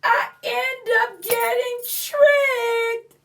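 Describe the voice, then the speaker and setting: A forlorn little storybook pumpkin, acted out by a woman, pitched way up high. It tells its tale in slow, stretched-out rhyme. The manner is plaintive and highly theatrical. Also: steady tone, creaky, a bit bright, far from the mic, full character voice. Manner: plaintive, theatrical, drawn-out rhyming delivery. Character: forlorn, dramatic ugly little pumpkin telling its life story. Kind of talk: storybook character read-aloud.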